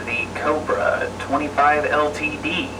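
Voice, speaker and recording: A man's voice: on the high side, fairly even intonation, measured pace, recorded from a distance. Very noisy CB radio, American man. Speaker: radio operator. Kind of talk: radio test transmission.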